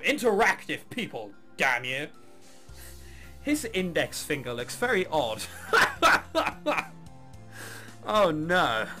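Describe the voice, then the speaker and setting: A man's voice: a little high, sharp tone. A man doing a let's play of a visual novel, narrating and throwing in sarcastic, mock-exasperated asides. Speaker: gamer reading and commenting on a visual novel.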